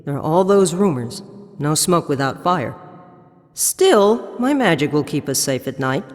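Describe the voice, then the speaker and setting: A woman's voice: sits low, sing-song, a little slow, a little mellow, no room sound, studio quality. A woman acting a tough but kindly horse trader in a full-cast audiobook, blunt, practical and no-nonsense. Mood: wary musing.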